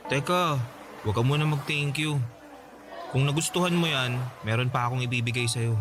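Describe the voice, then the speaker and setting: A man's voice: mid-range voice, moderate pace, lightly acted. A man playing an earnest, lovesick teenage schoolboy in a radio drama, pleading and insistent. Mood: eager, tender. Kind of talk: Tagalog radio drama acting.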